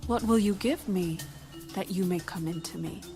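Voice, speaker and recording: An actress playing a bargaining widow in a dramatized audio Bible. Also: female voice, lowish voice, moderate pace, mellow, soft background music, natural voice. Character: bargaining adult woman of the Bible, veiled widow.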